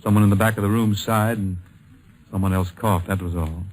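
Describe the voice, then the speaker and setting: A man narrating a 1950s radio drama, solemn and poetic with deliberate phrasing. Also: lowish voice, fairly even intonation, darkish tone, close to an everyday voice.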